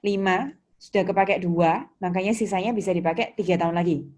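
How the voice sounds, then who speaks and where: A woman's voice: on the low side, fairly even intonation, brisk, darkish tone. A woman with an Indonesian accent giving an interactive lecture as a teacher, explaining points didactically and putting questions to students. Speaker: accounting lecturer. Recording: noisy, with keyboard clicks and typing.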